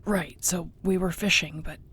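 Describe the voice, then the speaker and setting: A woman voices a tough orc girlfriend in a fantasy audio roleplay. Her voice is low and breathy, with a gravelly creak. She talks intimately and affectionately, wry and wearily playing down her scrapes. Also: fairly even intonation, moderate pace, fairly bright tone, exaggerated voice. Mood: wry, sore. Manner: sighing, conversational, wearily understated.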